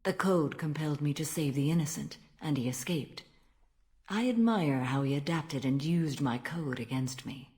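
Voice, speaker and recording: An actress playing a stern, ancient alien warrior-monk in a video game, speaking in a formal, measured and solemn manner. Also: female voice, low voice, a little mellow, close to an everyday voice. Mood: grave admiration. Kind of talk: dramatic video game dialogue.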